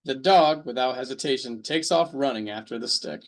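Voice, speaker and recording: A man's voice: mid-range voice, moderate pace. Man reading plain story narration. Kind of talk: reading narration for a radio drama table read.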